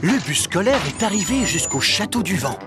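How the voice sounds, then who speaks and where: A man playing a friendly storybook narrator in a French children's cartoon, with a clear, explanatory delivery. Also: sits high, sing-song, normal speed. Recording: loud music behind.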